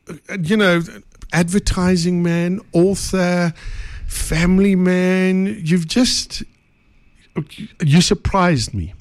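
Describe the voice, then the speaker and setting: A man's voice: sits high, dry recording. A male podcast host from South Africa interviewing a guest in a studio, talking animatedly and often repeating words as he goes.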